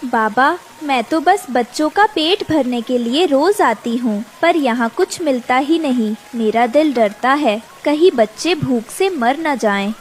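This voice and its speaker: A woman playing a humble cartoon mother bird with a very high, lilting voice. She speaks slowly and earnestly, with a plaintive edge, over faint background noise. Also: lively intonation. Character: poor, devoted mother bird, humble and hopeful. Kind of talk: children's cartoon voice acting.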